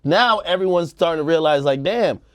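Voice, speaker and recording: A man's voice: fairly high pitch, lively intonation, normal speed. A man with an American accent giving casual opinions as a talk show co-host, slangy and acting out quotes. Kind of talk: casual opinionated panel chat.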